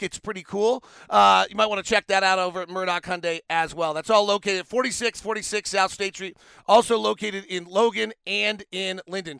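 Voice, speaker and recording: A man with a high, bright voice and some gravel in it hosts a sports podcast. He talks in a casual, animated, conversational way, rambling into asides. The sound is crisp and close. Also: no echo. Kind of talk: casual basketball analysis and conversational ad reads.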